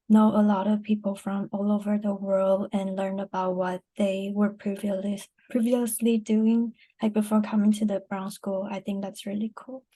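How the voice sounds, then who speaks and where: A woman from Taiwan introduces herself on a panel in a very dark, mellow voice. She speaks in a flat, even line. Her manner is friendly and steady. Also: mid-range voice. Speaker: graduate student panelist.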